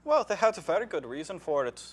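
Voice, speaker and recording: A man's voice: high voice, big pitch swings, fast, husky, heavily acted. A man playing a boastful, hard-drinking Irishman, rambling and joking. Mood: blustering. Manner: blustering, jokey, rambling with fillers. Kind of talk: comic banter.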